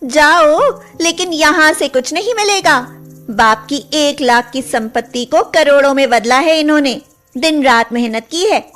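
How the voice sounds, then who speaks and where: A woman's voice: sits high, unhurried, a bit bright. A woman voicing a female character in a Hindi moral-story cartoon, in a theatrical, emphatic way. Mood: angry. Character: haughty, scolding sister-in-law and meek young bride.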